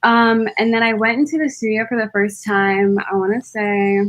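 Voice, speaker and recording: A woman's voice: fairly high pitch, flat delivery, moderate pace. A woman in her twenties from Connecticut, a music artist chatting casually as an interview guest. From Connecticut, United States.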